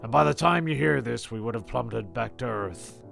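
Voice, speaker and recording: Man acting a gravelly comedy rocket narrator. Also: medium pitch, animated, normal speed, fairly bright tone, faint music behind. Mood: solemn farewell. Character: excitable narrator crewman in a shambolic rocket comedy. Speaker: narrator and character. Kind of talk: amateur audio comedy acting.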